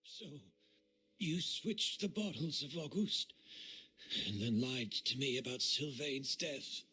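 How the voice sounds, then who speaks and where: An actor playing an older, refined and obsessive male master perfumer, a bitter villain in a video game who speaks in a deliberate, theatrical way. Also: medium pitch, sharp tone. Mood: cold, accusing. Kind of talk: dramatic video game voice acting.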